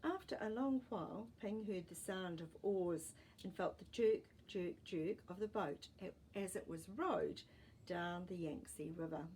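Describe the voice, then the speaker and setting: A woman storyteller reading a children's story expressively, with deliberate pauses and dramatic emphasis. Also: fairly low pitch, darkish tone, slight background noise. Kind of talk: expressive storybook narration with asides to young listeners.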